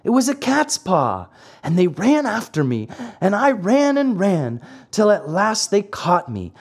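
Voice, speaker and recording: A narrator voicing a whiny, stubborn little wooden boy marionette in a high, squeaky, childlike storybook voice. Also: huge pitch swings, unhurried, breathy edge, full character voice. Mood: excited, breathless telling. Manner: whining, childlike, pleading.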